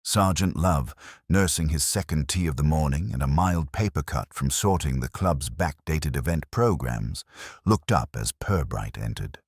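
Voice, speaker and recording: Very deep male mystery narrator. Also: expressive, a little slow, breathy edge, no room sound, clean studio sound. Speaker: audiobook narrator. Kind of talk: scripted mystery story narration.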